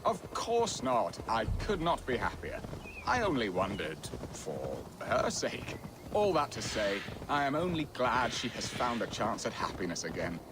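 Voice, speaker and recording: A man's voice: medium pitch, huge pitch swings, unhurried, background noise. An actor playing a jovial, romantic, middle-aged medieval nobleman in a video game, speaking in flowery, archaic phrases. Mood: wistful, confiding. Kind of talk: video game cutscene acting.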